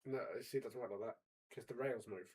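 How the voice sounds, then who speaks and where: A man of forty co-hosting a casual chat, wry and pedantic, quick to argue a point. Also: mid-range voice, steady tone, a little mellow, slightly rough. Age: 40s. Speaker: podcast co-host.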